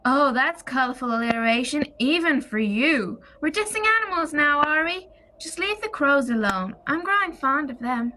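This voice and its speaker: An actress playing a breezy, enthusiastic sister in an audio play, with a coaxing manner. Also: female voice, high-pitched, animated, moderate pace, few pauses, sharp tone, a little hiss or hum, low-quality recording. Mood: exasperated. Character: breezy, enthusiastic woman placating her cross sister.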